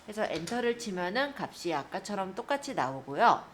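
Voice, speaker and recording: A woman teacher giving a step-by-step software tutorial, explaining politely to learners. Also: mid-range voice, very animated, brisk, slight background noise.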